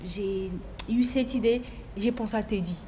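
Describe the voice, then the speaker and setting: This singer, a woman whose first language is Bemba, talks through her album in a relaxed guest chat at a mid pitch. She stresses her points by saying them again. Light background hiss sits under her voice. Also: measured pace. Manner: emphatic, repeats herself for stress. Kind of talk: casual interview talk.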